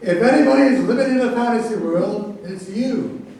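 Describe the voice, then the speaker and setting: An actor playing a boastful, pompous incumbent president who taunts debate rivals in a satirical staged reading. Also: male voice, sits high, moderate pace, dark tone. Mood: scornful. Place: live staged reading in an echoey room. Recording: echoey room, distant mic, faint noise.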